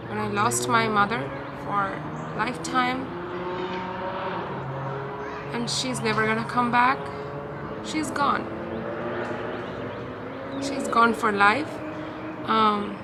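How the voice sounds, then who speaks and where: A young woman with a Bangladeshi accent talking in a hesitant, halting, confiding way in a personal vlog recorded outdoors. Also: on the high side. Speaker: vlogger. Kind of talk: personal talk-through to camera. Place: outdoors in a park. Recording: outdoor park noise, distant traffic. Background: ambient park and vehicle noise.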